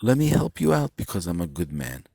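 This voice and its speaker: A man playing a kindly disguised prophet, calm and reassuring. His voice is lively but never pushed, like a gentle storybook elder. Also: sits low, a little fast, vocal fry, husky, no room sound, studio quality, heavily acted.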